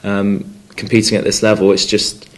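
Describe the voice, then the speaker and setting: Hesitant young Welshman, lowish voice. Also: monotone, normal speed. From North Wales. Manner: hesitant, many fillers and restarts. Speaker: rugby player. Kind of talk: press conference interview.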